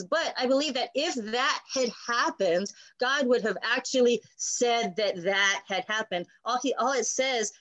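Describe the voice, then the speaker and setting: Woman, pastor, conversational Bible teaching, explanatory, medium pitch, dry sound. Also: no echo, low-quality recording. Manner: explanatory, often checks with "right?". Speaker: pastor co-hosting a Bible study.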